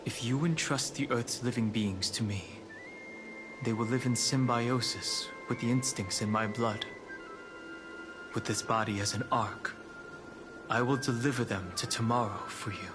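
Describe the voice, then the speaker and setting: A man as a slow, composed immortal dragon scion. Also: mid-range voice, fairly even intonation, natural voice. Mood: earnest resolve. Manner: measured, formal, stately. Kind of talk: scripted video game voice acting. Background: game music.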